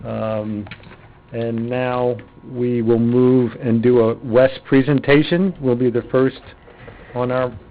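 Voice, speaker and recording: A man's voice: mid-range voice, flat delivery, takes it slowly, a little hiss or hum. A man chairing a city council meeting, announcing agenda changes in a procedural, hesitant way. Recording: phone-like council audio.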